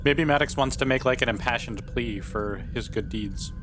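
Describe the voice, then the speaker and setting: A man's voice: mid-range voice, moderate pace, music in the background. A man chatting casually as a player in a tabletop role-playing game.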